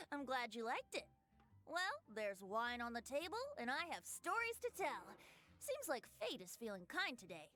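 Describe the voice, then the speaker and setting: A woman voicing a playful, teasing young male bard in an animated video game, with a lilting, theatrical delivery. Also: a little high, a little slow, faint music behind, exaggerated voice. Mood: inviting, cheerful.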